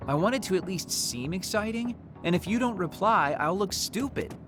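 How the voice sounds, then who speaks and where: Theatrical, swooping young soldier. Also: male voice, high voice, normal speed, background noise. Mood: fretful, eager. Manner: expressive, dramatic. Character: excitable, theatrical young male party-planner soldier. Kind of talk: anime video game dub acting.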